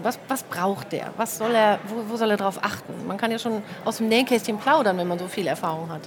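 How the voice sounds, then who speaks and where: A woman's voice: fairly high pitch, noisy background. A woman interviewer asking a guest conversational questions at a fair. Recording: busy crowd behind.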